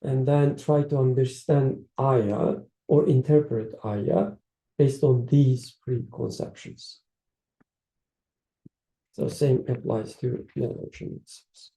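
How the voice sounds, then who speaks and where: A man talking through ideas in a casual study-circle discussion, thoughtful and hesitant, often pausing to restart a phrase. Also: medium pitch, steady tone, gravelly, mellow, roomy sound, poor audio. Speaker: discussion participant, researcher.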